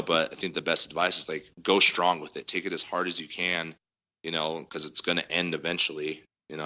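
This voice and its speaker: A man with a loud voice, a guest musician in a casual interview, telling a long story with lots of fillers. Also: very deep voice. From Denver area. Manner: rambling storytelling, heavy fillers.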